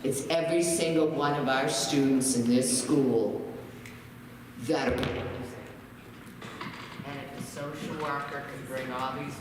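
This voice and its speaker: Slow, indignant man in an echoey hall. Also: medium pitch, fairly even intonation. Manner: emphatic, indignant, repeats points. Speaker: resident speaking at public comment. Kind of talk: impassioned public comment. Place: public school board meeting hall. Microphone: floor mic, partly cut off, heard from far. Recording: echoey hall from far off, noisy.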